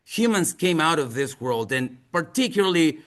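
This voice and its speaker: Male presenter, Chilean accent, native Spanish speaker. Slightly high, bright forceful voice, deliberate and earnest delivery. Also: sing-song. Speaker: museum outreach presenter. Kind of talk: presentation to an audience with slides.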